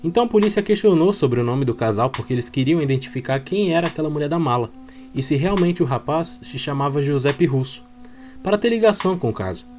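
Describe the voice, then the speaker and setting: A man's voice: a little high, expressive, quick pace, darkish tone. Brazilian man narrating over music. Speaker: narrator of a true crime story. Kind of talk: storytelling narration. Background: background music.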